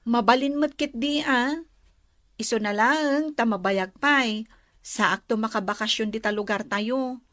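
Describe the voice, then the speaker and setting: An actress playing an eager, obedient young housemaid in a radio drama. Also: female voice, on the high side, unhurried, fairly bright tone, no echo, clean studio sound. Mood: wistful.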